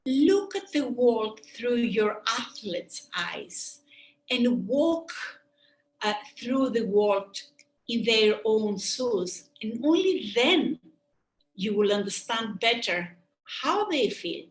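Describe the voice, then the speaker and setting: A woman with a Greek accent presenting a sports medicine webinar, earnest and thoughtful, pausing often with "um" and restarting her phrases. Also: a little high, expressive, roomy sound, rough recording. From Greece.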